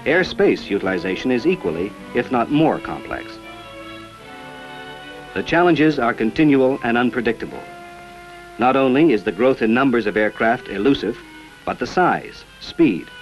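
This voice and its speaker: A man reading scripted narration for an old informational film in a measured, formal manner. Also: mid-range voice, dull audio. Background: background music.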